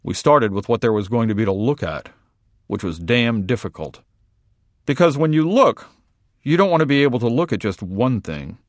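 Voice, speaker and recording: A man reading a first-person short story aloud in a measured, deadpan manner. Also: medium pitch, no room sound, studio quality. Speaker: reader. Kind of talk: literary fiction reading.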